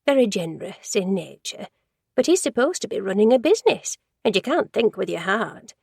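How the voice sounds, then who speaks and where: A woman's voice: mid-range voice, very animated, moderate pace, gravelly, a little mellow, slightly rough, no room sound, clean studio sound, full character voice. A woman voicing a gossipy, judgmental older woman who works in an office, rambling and easily sidetracked in a storybook reading. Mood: indignant.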